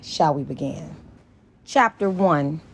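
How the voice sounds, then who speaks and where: A woman narrates an audiobook in a slightly low voice. She reads scripted fiction at a very unhurried pace. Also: lively intonation, husky. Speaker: audiobook reader.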